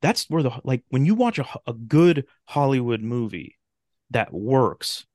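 A man's voice: mid-range voice, animated, a little slow, a little mellow, no room sound, clean studio sound. A man talking casually as an interview guest, thoughtful and searching for his words. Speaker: podcast guest, writer and radio drama creator.

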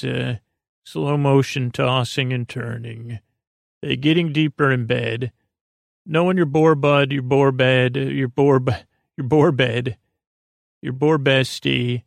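A man hosting a bedtime podcast in a gravelly voice, rambling hesitantly and repetitively in a monologue meant to lull listeners to sleep. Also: medium pitch, no room sound, clean studio sound. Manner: meandering, hesitant, repetitive, with murmured asides. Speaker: podcast host.

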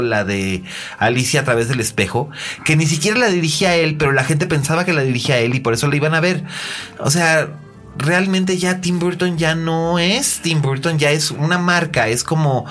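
A man's voice: fairly high pitch, a bit bright. A man with a Mexican accent, co-hosting a casual film chat with gossipy, sardonic asides. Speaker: podcast co-host.

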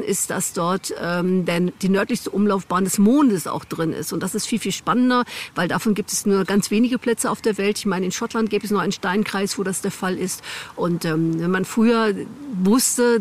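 Female guide, mid-range voice, candid and explanatory, informal interview delivery. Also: mid-range voice. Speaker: local guide being interviewed. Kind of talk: informal spoken explanation of local history and legends.

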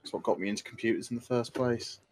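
A young man with a British accent speaks as a podcast co-host. His pitch is average, and he explains technical things casually, with an enthusiast's keenness. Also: steady tone, fast, darkish tone, a little hiss or hum. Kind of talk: casual tech chat.

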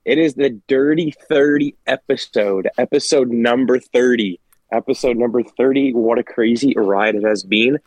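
A man's voice: medium pitch, moderate pace, darkish tone, no room sound, low-quality recording. A congested man hosting a podcast in a laid-back, jokey chat with friends. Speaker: podcast host. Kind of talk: casual chat.

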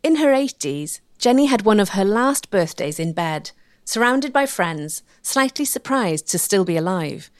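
A woman narrates a documentary from a script. Her pitch moves around expressively, and the sound is dry and close. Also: expressive, a little slow, no echo.

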